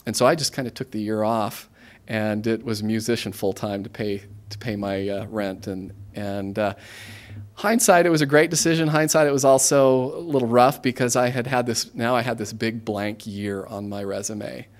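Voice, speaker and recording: An American man in his thirties speaks informally, as a guest addressing students. His voice sits a little low, and his delivery is conversational and halting, with frequent self-interruptions and restarts. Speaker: guest speaker, government aid regional coordinator. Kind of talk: informal career talk to students.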